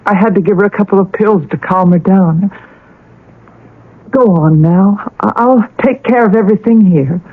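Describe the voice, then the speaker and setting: A woman's voice: medium pitch, moderate pace, natural voice. An actress playing a frantic, protective woman in a radio drama, pleading and hesitant as she takes charge. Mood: urgent, pleading. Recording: tinny, phone-like old radio broadcast.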